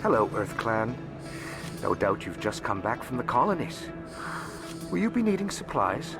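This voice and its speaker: A man playing a courteous alien merchant in a video game, with a formal, measured salesman's patter. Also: medium pitch, big pitch swings. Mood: courteous, salesy. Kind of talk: video game character acting. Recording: music behind the voice.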